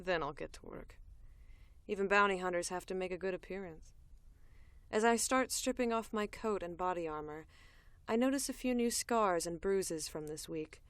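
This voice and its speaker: Audio drama lead: tough, cool bounty hunter woman, played by an actress, fairly low register, dry wit, deadpan conversational delivery. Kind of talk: audio drama, first-person narration and dialogue.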